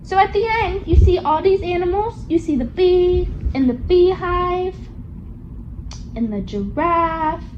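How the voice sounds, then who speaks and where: A woman's voice: extremely high pitch, lively intonation, slow, dull audio, a little hiss or hum. A woman reading a picture book aloud to a young child, coaxing along with questions in a sing-song, child-directed way. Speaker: storybook reader for a young child.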